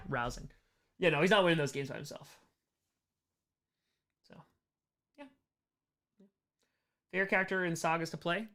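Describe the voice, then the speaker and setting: An American man hosting a casual livestream Q&A, chatting in an animated way and repeating short phrases for emphasis. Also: on the high side, clean tone. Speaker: livestream host answering chat questions.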